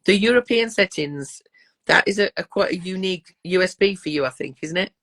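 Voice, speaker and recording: A woman's voice: on the low side, brisk, fairly bright tone. A woman hosting a casual interview, affectionate and calling her guest darling. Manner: affectionate, calls people darling and love. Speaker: interview host.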